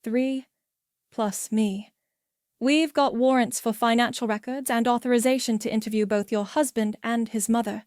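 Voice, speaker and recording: A woman reading the lines of a calm, firm female federal agent who speaks briskly and matter-of-factly. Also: a little high, dry recording, studio quality, natural voice. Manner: brisk, matter-of-fact, reassuring.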